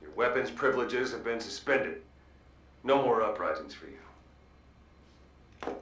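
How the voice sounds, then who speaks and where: Low, echoey, mocking military warden. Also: male voice, animated, slow pace, fairly bright tone. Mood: stern, taunting. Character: stern, mocking male military warden taunting a prisoner. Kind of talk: dramatic game dialogue. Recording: echoey, distant from the mic.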